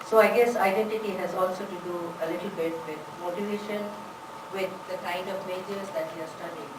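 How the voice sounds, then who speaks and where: A woman's voice: fairly low pitch, fairly even intonation, brisk. A woman giving a conference talk on language teaching, chatty with many "you know"s. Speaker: conference presenter, English teacher. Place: large conference room. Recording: echoey hall, distant mic, background noise.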